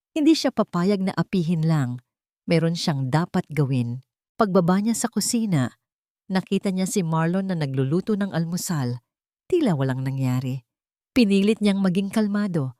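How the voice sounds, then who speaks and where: A woman reading a scripted romantic drama as a narrator, in a measured and earnest storytelling delivery. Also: fairly low pitch, mellow, no room sound, studio quality.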